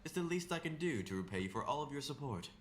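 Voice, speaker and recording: A man's voice: medium pitch, big pitch swings, quick pace, slight background noise, close to an everyday voice. A man playing a gallant young figure skating idol in an audio drama, speaking in courteous, flowery phrases. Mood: charming, gracious. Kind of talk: anime-style audio drama acting.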